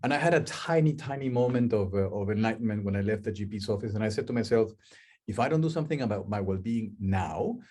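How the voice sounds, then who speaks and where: A man's voice: on the low side, fast, darkish tone, slightly rough. A middle-aged man from Peru with a Peruvian Spanish accent, giving a chatty webinar talk on a video call and asking the audience questions. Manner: chatty, asks the audience questions, many restarts. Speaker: guest speaker, life coach.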